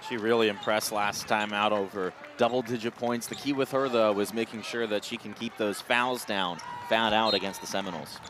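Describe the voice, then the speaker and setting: A man's voice: on the low side, steady tone, a little fast, few pauses. A man giving conversational live sports analysis as a color commentator. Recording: noisy gym ambience, bouncing balls. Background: basketball game noise.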